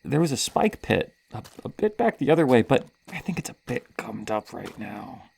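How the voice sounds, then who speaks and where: A woman's voice: rumbling low, sing-song, normal speed, gravelly, a little mellow, breathy edge, exaggerated voice. A woman playing a sadistic, playful serial killer in an ASMR horror roleplay, teasing and menacing, with a hesitant stammer and drops into whispers. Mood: smug amusement.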